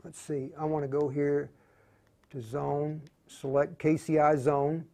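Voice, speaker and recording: A man with a slightly high voice leads an informal live tutorial. He thinks aloud in halting phrases, muttering to himself while he works and talking to viewers in a plain, reassuring way. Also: little pitch movement, vocal fry, mellow. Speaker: tutorial host demonstrating radio programming.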